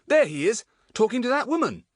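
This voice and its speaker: A man voicing an eager, confident schoolboy with a bossy, excited manner in a storybook. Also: high voice, moderate pace, no room sound, clean studio sound, voice pushed up, exaggerated voice.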